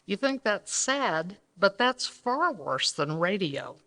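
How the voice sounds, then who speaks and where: Mid-pitched woman, conversational stage presenter. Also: big pitch swings, takes it slowly, sharp tone. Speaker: co-presenter of a radio re-creation troupe. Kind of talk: semi-scripted introduction to a live audience. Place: live stage at a banquet.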